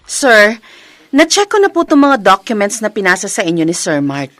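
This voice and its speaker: A woman's voice: medium pitch, very animated, normal speed, over music, lightly acted. A woman acting a resentful, scheming office employee in a drama, speaking with a Filipino accent. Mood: deferential, probing. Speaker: actor in a short drama. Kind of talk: acted office drama dialogue in Tagalog and English.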